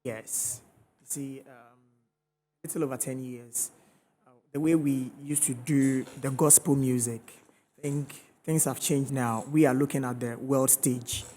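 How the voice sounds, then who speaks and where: A man speaking as a gospel singer on a studio panel. His pitch is mid-range for a man, and he talks haltingly, with plenty of fillers as he searches for words. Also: fairly even intonation, dark tone, a little hiss or hum. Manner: hesitant, many fillers. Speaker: gospel musician guest. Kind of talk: studio interview answers.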